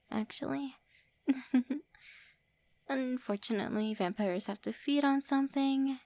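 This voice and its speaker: A woman voicing a lonely, prickly female vampire who is centuries old, in an ASMR roleplay, often speaking softly or in whispers. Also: on the high side, creaky. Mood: melancholy. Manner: tsundere, sharp then wistful.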